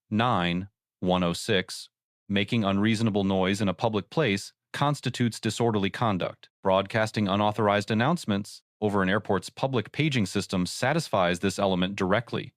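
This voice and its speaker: A man reading scripted legal analysis as a narrator in a formal, measured, explanatory way. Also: on the low side, dry recording, studio quality.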